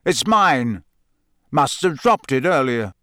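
A man's voice: medium pitch, sing-song, bright, forceful tone, no room sound, studio quality, heavily acted. Guy putting on a growly scarred older professor, audiobook style, sardonic, barks and taunts, bit threatening. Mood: calm. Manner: barking, taunting, deliberate. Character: gruff, battle-scarred older male professor, sardonic and menacing. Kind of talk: audiobook character voice.

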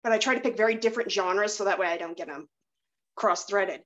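Female podcast host, mid-pitched voice, chatty and anecdotal, recorded a bit dull and lo-fi. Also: brisk, fairly bright tone. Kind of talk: casual chat. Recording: slightly dull, lo-fi microphone.

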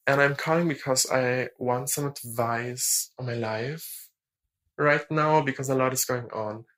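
A nineteen-year-old woman from Germany with a German accent, calling in for advice in a hesitant, halting, earnest way. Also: a bass voice. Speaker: caller asking for advice. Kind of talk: personal voicemail-style account.

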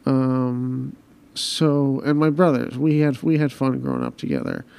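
A man hosting a podcast, talking personally and reflectively, hesitant and halting with frequent restarts. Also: medium pitch, big pitch swings, creaky, warm tone, clear, resonant tone. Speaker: podcast host. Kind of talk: personal conversation.

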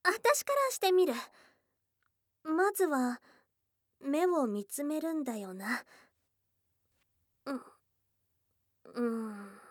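A shy young goblin girl, played by a woman, speaks in a high voice at a slow, halting pace. She is tomboyish but smitten and easily flustered, murmuring softly in an ASMR drama. The sound is very dry and close to the microphone. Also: big pitch swings, no room sound, heavily acted. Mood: flustered, bashful. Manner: hesitant, bashful, halting, with soft murmurs. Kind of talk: intimate ASMR situation voice drama. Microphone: close studio microphone, dry.

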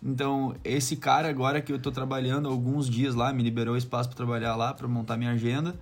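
A man's voice: mid-range voice, flat delivery, quick pace, faint music behind. A man from Brazil with a southern Brazilian accent, chatting casually and slangily, swearing now and then and acting out what people said. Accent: southern Brazilian Portuguese. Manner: slangy, swearing, acts out quoted remarks. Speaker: barber living abroad as an immigrant. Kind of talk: casual conversational anecdotes.

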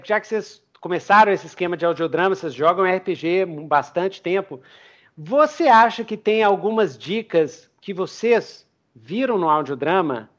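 Guy hosting a podcast, pretty high voice, slangy expressive casual chat. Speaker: podcast host interviewing guests.

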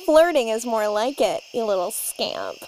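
Woman, comedy lead, high and lively, cheerfully unbothered. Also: measured pace, a little hiss or hum, low-quality recording. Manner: bubbly, oblivious, cheerfully unbothered. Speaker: cast member. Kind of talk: comedic audio sketch.

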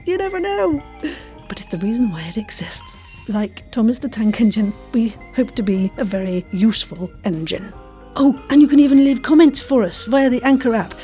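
Casting: female podcast host, playful and effusive, higher-pitched, soft mellow tone, some music behind. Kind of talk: enthusiastic, chatty podcast hosting.

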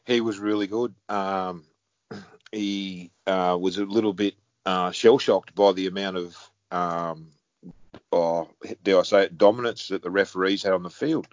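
Blunt head ref, deep voice, dry muffled sound. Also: male voice, steady tone, unhurried, no echo. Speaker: head referee. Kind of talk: casual conversation.

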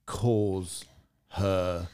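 A man's voice: low voice, steady tone, drawn-out words, dark tone, smooth. A man on a film podcast, asking hesitant questions in casual chat. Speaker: podcast co-host.

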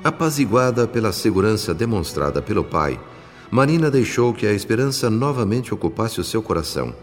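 A Brazilian man narrating a scripted radio drama in a formal, measured manner. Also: on the low side, very animated. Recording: long reverb, music behind.

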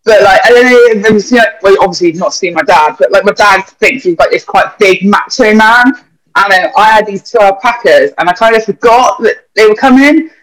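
A young man with a notably high voice tells loose, rambling stories, dropping swear words and filler words as he goes. He is a vet chatting casually on a podcast. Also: fairly quick, a bit bright, poor audio.

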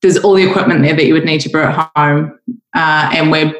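Low-voiced woman in an echoey room. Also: steady tone, brisk. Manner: laughing often, easygoing. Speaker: guest, coffee roaster. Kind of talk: casual podcast chat.

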